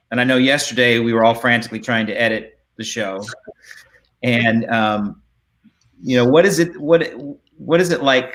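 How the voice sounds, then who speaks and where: Middle-aged male director-host, mid pitch, halting and repetitive phrasing, informal video call. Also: fairly bright tone. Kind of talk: casual video panel discussion. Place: indoor room on a video call. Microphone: video call microphone.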